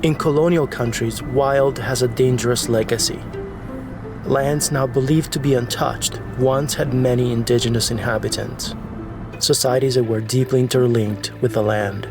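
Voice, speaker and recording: A man's voice: mid-range voice, fairly even intonation, normal speed. A man reading scripted documentary narration as the film's narrator. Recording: music behind the voice. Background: background music.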